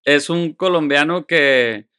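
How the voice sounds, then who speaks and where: Chatty podcast host, slightly high voice. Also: male voice, slow, sharp tone, smooth, no echo, studio quality. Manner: friendly, laughs often, prompts with questions. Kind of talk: casual interview chat.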